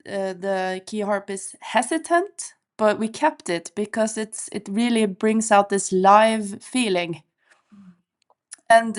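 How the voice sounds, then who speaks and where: A woman folk musician answering interview questions in an unhurried, thoughtful way with frequent ums. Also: mid-range voice.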